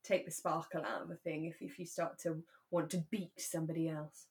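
Lower-pitched woman, chatting casually. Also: level tone, brisk. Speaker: podcast co-host; actor. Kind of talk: casual chat recapping a radio drama.

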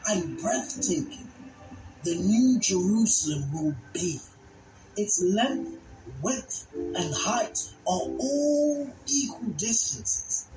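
Preacher for a scripture dramatization: slow, solemn, emphatic delivery, echoey sound over music. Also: medium pitch. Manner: solemn, emphatic, deliberate phrasing. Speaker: Bible teacher and narrator. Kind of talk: dramatized scripture reading and sermon.

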